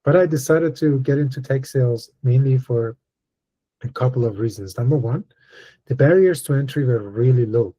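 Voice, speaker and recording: Man from Iran, mellow voice. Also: medium pitch. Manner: measured, thoughtful, frequent uh and um fillers. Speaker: guest speaker. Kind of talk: personal story in a webinar talk.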